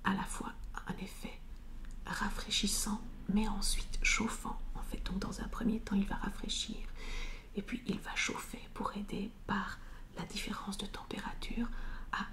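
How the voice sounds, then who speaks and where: A woman speaks in a steady, breathy whisper with very little melodic movement. She plays a soothing chiropractor in an ASMR roleplay, explaining each step gently with small hesitations. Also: mid-range voice, measured pace.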